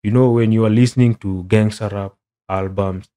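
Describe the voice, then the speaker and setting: A man's voice: lowish voice, moderate pace. A young man from Kenya with a Kenyan accent, chatting casually as a content creator in English, which is not his first language, and dropping "bro" again and again. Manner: relaxed, says "bro" a lot, rambling. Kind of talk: casual reaction commentary.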